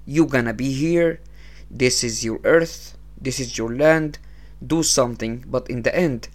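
Egyptian male podcast host, English, unhurried motivational talk, budget mic. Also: mid-range voice. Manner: unhurried, repeats phrases, non-native English. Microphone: small low-cost podcast setup.

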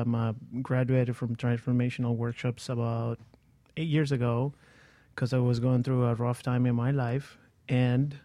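Earnest guy talking about his coaching on a radio show, pretty flat delivery, dry mic. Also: medium pitch, dry recording. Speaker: guest, life coach. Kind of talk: radio interview.

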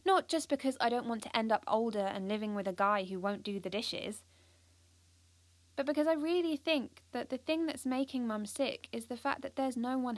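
A woman's voice: fairly high pitch, dry recording. A British woman playing an earnest, driven teenage schoolgirl activist, confiding in the listener in an audio drama monologue. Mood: earnest, determined.

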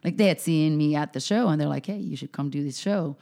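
Woman from Finland, comedian, lowish voice, animated storyteller, swears freely, lots of fillers. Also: fast talker, vocal fry. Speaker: comedian and health coach. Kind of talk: casual podcast chat.

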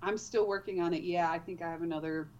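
A woman from the Netherlands with a light Dutch accent, an author chatting in an interview, animated and quick to laugh. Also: lowish voice, muffled recording. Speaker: author, guest. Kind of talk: casual interview.

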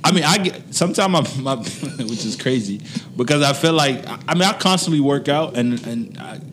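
A man's voice: medium pitch, animated, moderate pace, a bit bright, slight background noise. A man hosting a casual interview, joking and rambling with lots of restarts. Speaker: podcast host.

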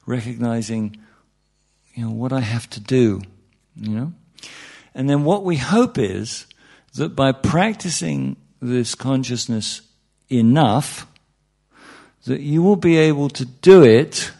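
Man, meditation teacher, mid-pitched and gravelly, relaxed conversational delivery with light humor. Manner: conversational, joking, checks in with "yeah?" and "you know". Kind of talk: informal spiritual talk to a group.

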